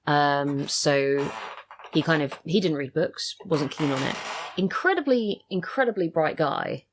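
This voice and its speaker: A woman's voice: deep voice, animated, unhurried, slight background noise. A woman chatting casually as a podcast guest, thoughtful and a little meandering as she talks. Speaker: podcast guest, writer and voice actor. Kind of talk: casual chat.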